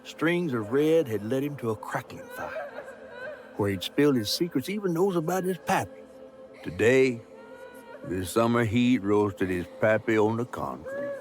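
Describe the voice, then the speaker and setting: An actor with a Southern US accent playing a folksy storyteller, narrating a dark, sorrowful tale with drawn-out, dramatic phrasing. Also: male voice, mid-range voice, wildly swooping pitch. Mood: somber, folksy. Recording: music under the voice. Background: music.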